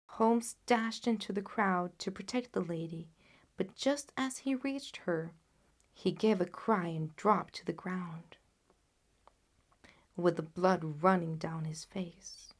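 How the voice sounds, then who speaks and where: A woman reading classic literary fiction aloud as a storyteller, with an expressive, dramatic manner. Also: fairly low pitch, slow pace. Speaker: storyteller, audiobook reader. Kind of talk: scripted literary narration.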